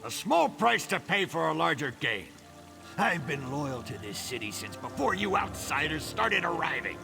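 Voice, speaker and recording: An actor playing a shifty orc tonic peddler in a video game, smooth-talking and blustering, self-righteous and quick to defend himself. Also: male voice, a little high, sing-song, over music. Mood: indignant, self-justifying. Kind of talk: video game character acting.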